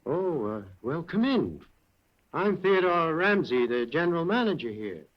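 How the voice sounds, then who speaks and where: An actor playing a hesitant, stammering general manager in an old radio drama. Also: male voice, on the high side, sing-song, measured pace. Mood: uneasy. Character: nervous evasive male mill manager. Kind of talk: 1940s radio acting. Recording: dull old radio recording.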